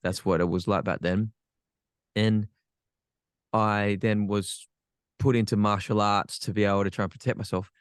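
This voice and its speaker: A man's voice: lowish voice, steady tone, moderate pace, long pauses, clean tone, no room sound, clean studio sound. An Australian man, a podcast guest, telling his life story frankly with self-deprecating humor. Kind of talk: candid personal storytelling.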